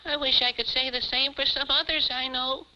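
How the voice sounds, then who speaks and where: Boyish alien puppet, high-pitched, piercingly bright, exclamatory, caring, bossy, vintage TV puppet show. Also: fairly even intonation, normal speed. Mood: friendly. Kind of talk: 1950s television puppet show acting. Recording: muffled 1950s television soundtrack, faint hiss.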